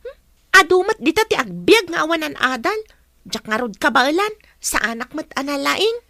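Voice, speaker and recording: A woman's voice: high-pitched, big pitch swings, moderate pace, pushed and bright, no room sound, clean studio sound, exaggerated voice. An actress with a Filipino accent playing a whiny, envious schoolgirl in a radio drama. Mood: sulky. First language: Ilocano. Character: whiny, envious schoolgirl who shuns studying and chores.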